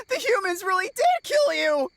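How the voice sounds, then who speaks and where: Young tanuki spirit for a game: naive and excitable, wildly animated, high voice. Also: female voice, unhurried, noisy background, heavily acted. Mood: shocked. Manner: gasping, exclaiming, childlike. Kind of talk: video game voice acting.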